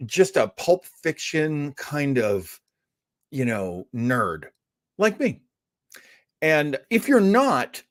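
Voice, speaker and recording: A man hosting a casual show, rambling, joking at his own expense and interrupting himself when distracted. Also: mid-range voice. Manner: jokey, self-deprecating. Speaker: host. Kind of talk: casual live show chat.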